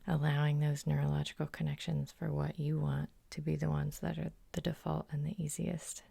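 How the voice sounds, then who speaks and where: A woman hypnotherapist guiding an ASMR sleep hypnosis in a soft, soothing half-whisper with slow, lingering phrases. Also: sits low, creaky, dry recording. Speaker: hypnotherapist and host.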